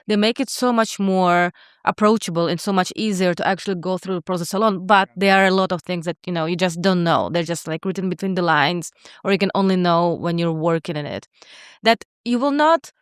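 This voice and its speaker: Lower-voiced woman host, smooth and dry. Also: fairly quick, no echo. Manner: chatty, many "like"s and "yeah"s, acts out quotes. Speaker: podcast host. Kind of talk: casual interview chat.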